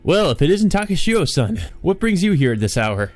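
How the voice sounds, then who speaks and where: Man reading as a guarded, anxious male artist, raised pitch. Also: big pitch swings, a little fast, soft background music. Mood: wary politeness. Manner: hesitant, evasive, formally polite.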